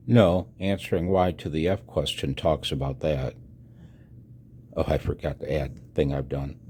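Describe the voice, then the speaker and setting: A man in his sixties giving a personal voice-over announcement, halting and reflective, with a husky low voice. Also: darkish tone. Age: 60s. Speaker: amateur radio hobbyist and video narrator. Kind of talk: personal voice-over announcement, partly read from own text.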